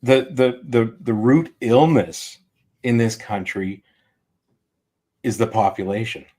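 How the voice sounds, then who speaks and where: A man's voice: mid-range voice, steady tone, takes it slowly, mellow. A man hosting a casual podcast, blunt and opinionated, swearing freely as he talks. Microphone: remote call mic.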